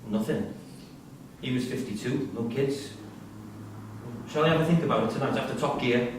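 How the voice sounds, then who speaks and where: A man's voice: medium pitch, moderate pace, lightly acted. A man playing a blunt, colloquial prisoner, a cocky yet brooding killer, in a staged drama reading. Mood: brooding, subdued. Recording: very echoey hall, distant mic, hiss.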